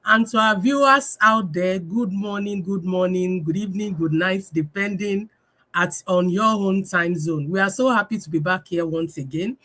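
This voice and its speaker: Woman host, average pitch, chatty with many fillers, talking over a video call. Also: normal speed. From Nigeria. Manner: warm greetings, many fillers, laughs often. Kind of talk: live-stream business talk.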